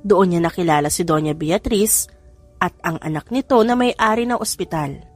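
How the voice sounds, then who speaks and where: A woman's voice: lowish voice, lively intonation, normal speed, no echo. A woman with a Filipino accent reads a scripted romance story as an audiobook narrator. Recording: music bed behind narration. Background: background music.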